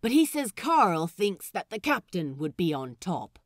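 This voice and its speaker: A woman voicing a loud, impatient, excitable male pirate cook with a blunt, bossy manner. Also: fairly low pitch, measured pace, no echo, clean studio sound. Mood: excitable, pushy.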